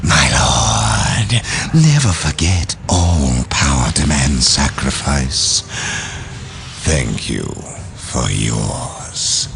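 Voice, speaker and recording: Very deep, creaky, scheming sorcerer villain. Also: male voice, sing-song, fairly bright tone, slight background noise, heavily acted. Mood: mocking, cold. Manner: theatrical, slow, insinuating, mock-deferential. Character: scheming Chaos sorcerer, silky, sinister, grandiose villain. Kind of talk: video game cutscene villain acting.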